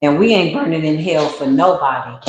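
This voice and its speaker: A woman's voice: sits low, lively intonation, normal speed, background noise. A woman hosting a casual livestream tarot reading, slangy and exclamatory, talking straight to her viewers. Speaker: spiritual reader and livestream host. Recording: very echoey room.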